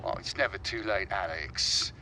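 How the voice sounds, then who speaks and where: A man's voice: sits low, moderate pace, sharp tone, slight background noise. A man playing an encouraging middle-aged father in a cinematic video game.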